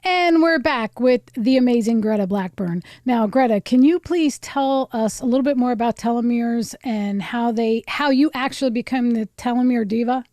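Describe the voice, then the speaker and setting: A woman's voice: on the high side, normal speed, hardly any pauses, darkish tone, no room sound. Upbeat woman host, dry sound. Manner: enthusiastic, brief reactions. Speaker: interview host. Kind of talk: upbeat interview hosting.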